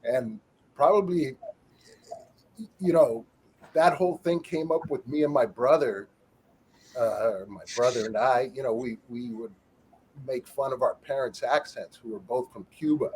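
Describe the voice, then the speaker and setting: A man's voice: medium pitch, unhurried, long pauses, darkish tone, slight background noise, low-quality recording. A middle-aged man, a former wrestler, telling stories as an interview guest with wisecracks. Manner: wisecracking, storytelling, calls people chick. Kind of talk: casual interview chat.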